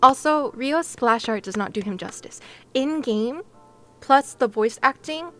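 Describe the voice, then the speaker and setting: A woman's voice: high-pitched, expressive, a little slow, sharp tone, faint music behind. A woman livestreaming and chatting casually with her viewers, giggly and playful, with gushing exclamations and sing-song asides. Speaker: live streamer.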